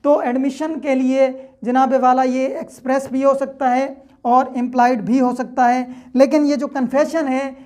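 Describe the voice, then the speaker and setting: Woman, high-pitched voice, law lecturer, didactic, step-by-step explanations. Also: level tone, moderate pace, few pauses, warm tone. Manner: didactic, step-by-step, repeats points and checks understanding.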